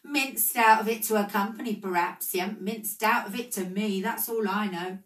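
Offhand fat businessman voiced by a woman, loud, brisk gossip, roomy and off-mic. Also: medium pitch, far from the mic, pitched above the performer's own voice, natural voice. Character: fat, bored businessman with a huge chin.

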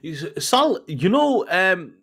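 A man's voice: high-pitched, sing-song, slow pace, a bit bright, breathy edge. A middle-aged man hosting a casual football chat over a video call, eager and full of fillers and restarts. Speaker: podcast host. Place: home room, indoors. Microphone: podcast mic on video call.

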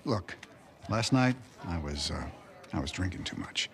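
A man acting a sheepish, gruff older American man in a video game, speaking haltingly and hesitantly. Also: sits low, animated, fairly bright tone, heavily acted.

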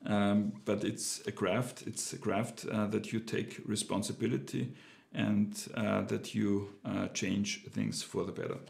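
Man with a gravelly voice, halting speech, home office, desk camera. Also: on the low side, a little mellow. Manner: hesitant, many fillers and restarts. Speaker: business owner reviewing a book. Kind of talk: casual unscripted talk to camera. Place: home office with bookshelves, indoors.

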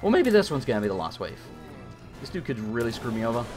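A man commentating a live gameplay stream, hoarse and losing his voice, talking frantically and swearing often. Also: mid-range voice, sing-song, quick pace, over music. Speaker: gaming streamer.

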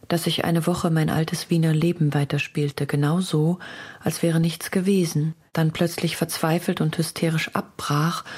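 A lovesick young woman poet in a radio feature. The voice is very low for a woman and husky with breath. She reads her letters slowly and intimately, torn and hushed. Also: full character voice. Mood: weary, confessional. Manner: intimate, measured literary reading.

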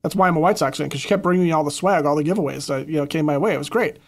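Easygoing man with a fairly high voice. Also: rapid-fire. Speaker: podcast co-host. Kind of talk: casual podcast chat.

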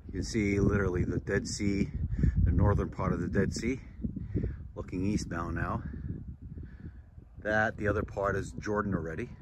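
Brief: man, 40s, very low voice, halting selfie-vlog delivery, wind noise on the track. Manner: halting, many uh fillers and restarts. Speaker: vlogger. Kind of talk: casual travel vlog. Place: outdoors on a desert lookout. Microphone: phone selfie at arm's length.

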